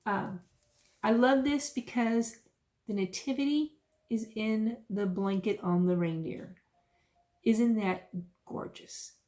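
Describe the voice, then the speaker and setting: A woman's voice: on the high side, slow pace, long pauses, dark tone. Woman in her fifties, folksy rambling talk, self-amused asides, casual vlog chat. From South Texas. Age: 50s. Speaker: stitching vlogger.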